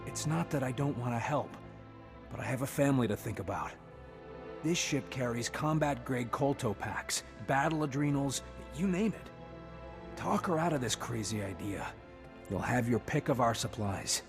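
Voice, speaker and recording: A male actor as a self-serving space captain in a video game. He sounds defensive and bargaining, in a medium-pitched voice with music clearly behind him. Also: lively intonation, moderate pace, close to an everyday voice. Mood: defensive, pleading. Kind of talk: dramatic video game acting.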